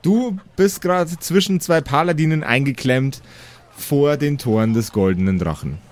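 A man's voice: fairly high pitch, lively intonation, normal speed. A man improvising narration as the game master of a tabletop role-playing game.

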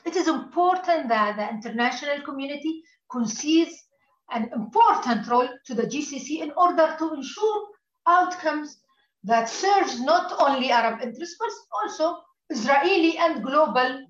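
A woman with a high voice lays out her views in a formal, measured way, like an analyst answering interview questions. Her line sounds roomy and somewhat muffled, as if coming in from a distance over a remote link. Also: expressive. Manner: measured, formal policy talk. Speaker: political analyst.

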